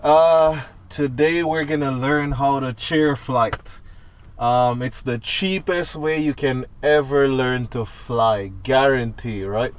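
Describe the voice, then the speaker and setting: A man's voice: mid-range voice, takes it slowly. Jamaican man talking through a checklist. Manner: talks through a checklist, tagging lines with "right?". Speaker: student pilot. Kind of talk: casual instructional vlog. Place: inside a small airplane cockpit on the ground.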